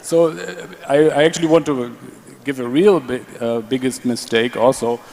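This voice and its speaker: A man's voice: medium pitch, moderate pace, vocal fry, darkish tone. A man speaking on a conference panel with a dry, joking delivery. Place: large conference hall. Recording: reverberant conference hall.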